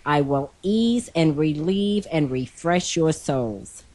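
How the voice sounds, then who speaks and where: Hoarse older woman reading scripture gently. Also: sits low, expressive, slow. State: hoarse from a cold. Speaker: co-host.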